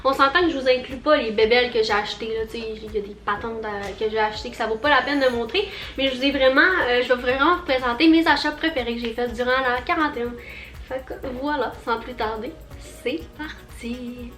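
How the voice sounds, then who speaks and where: A young Quebec woman chats in a high, bubbly voice, rambling and filling her sentences with little fillers. Her voice rings a bit in an echoey room. Soft music plays quietly beneath her. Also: fairly quick. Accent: Quebec French. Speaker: vlogger. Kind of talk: casual haul vlog.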